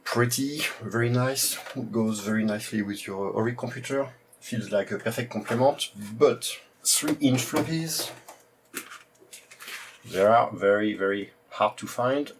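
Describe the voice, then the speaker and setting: A man with a French accent hosting a retro computing video, talking casually and hesitantly with many pauses and fillers. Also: mid-range voice, slight background noise, low-quality recording. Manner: hesitant, heavy use of "uh" fillers. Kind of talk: casual show-and-tell presentation.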